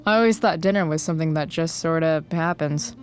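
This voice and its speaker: A woman's voice: sits low, fairly quick, a little mellow, faint music behind, lightly acted. A woman playing a sarcastic, rebellious teenage girl in a video game, with a dry, flippant, slangy way of talking. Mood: flippant, deflecting. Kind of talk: video game cutscene acting.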